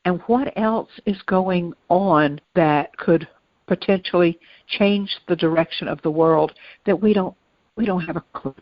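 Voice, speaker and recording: A woman's voice: fairly low pitch, unhurried, no echo. Musing woman on a dry, dull podcast recording. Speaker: podcast co-host. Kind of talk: casual conversational discussion.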